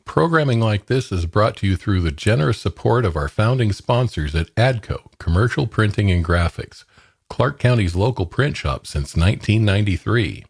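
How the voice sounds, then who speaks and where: Casting: deep-voiced man for radio sponsor spots, scripted, close and dry. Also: expressive, moderate pace, breathy edge, no echo. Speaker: sponsor announcer. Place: dry studio, close mic.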